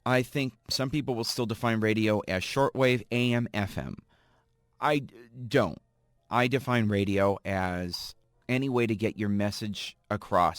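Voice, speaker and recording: Man, expert interviewee, emphatic commentary, fairly low voice, dry close recording. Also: no room sound. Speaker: radio creative director, expert interviewee.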